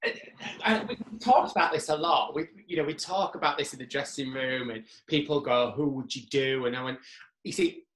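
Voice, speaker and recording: A Yorkshire-accented man guesting on a podcast, chatty and self-deprecating. His voice sits a little high and carries a roomy echo. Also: brisk, hardly any pauses, fairly bright tone. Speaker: drag performer and guest. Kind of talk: casual chat.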